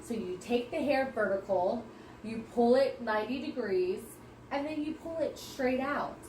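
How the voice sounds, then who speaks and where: A woman's voice: fairly high pitch, measured pace, a little mellow, reverberant, far from the mic, a little hiss or hum, rough recording. A woman co-hosting a podcast, confidently explaining hair care like a stylist teaching a client. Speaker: podcast co-host, hairstylist. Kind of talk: casual chat.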